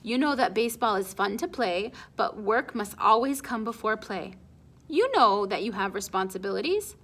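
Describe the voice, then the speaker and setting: A woman's voice: mid-range voice, expressive, normal speed, fairly bright tone. Woman acting a proud, stern, emphatic father. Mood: firm, lecturing. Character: proud, stern, hard-working immigrant father of a boy.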